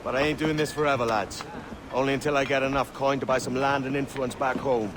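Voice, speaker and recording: Video game pirate captain male actor, young, cocky rogue, mid-pitched, playful bravado, noisy outdoor ambience. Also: steady tone, fairly quick, lightly acted. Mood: brisk, confident. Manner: swaggering, teasing, colloquial. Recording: game audio with sea and vehicle noise.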